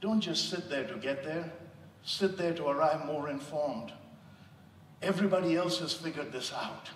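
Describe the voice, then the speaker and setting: A man's voice: fairly high pitch, normal speed, slight background noise. A man from India with an Indian accent, giving an impassioned sermon-style speech as a motivational speaker. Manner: impassioned, rising to loud emphasis. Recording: echoey hall, distant mic.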